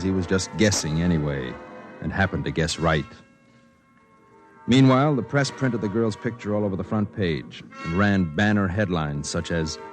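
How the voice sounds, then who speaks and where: A man's voice: on the low side, natural voice. An actor playing a newspaper reporter who narrates his story in a 1950s radio drama. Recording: 1950s radio broadcast with music.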